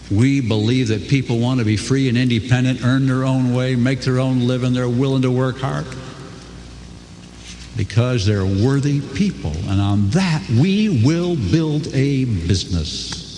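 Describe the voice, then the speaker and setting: An older man giving a folksy, earnest motivational speech to a crowd, his pitch swooping for emphasis. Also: expressive, a little slow, mellow, a little hiss or hum. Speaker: company co-founder speaking to a crowd. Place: large hall before an audience. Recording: echoey hall, faint ambience.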